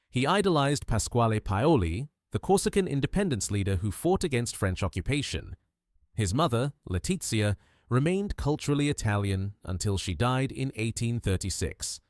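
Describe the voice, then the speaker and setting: A man narrates a history documentary in short, punchy, dramatic phrases. His pitch rises and falls widely from line to line. The sound is dry, with no room echo.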